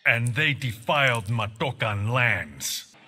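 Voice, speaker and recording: Hostile proud warrior, mid voice, music blasting behind. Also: male voice, mid-range voice, lively intonation, slow pace. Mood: grim. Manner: blunt, hostile. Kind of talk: video game character dialogue. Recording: game audio under loud music.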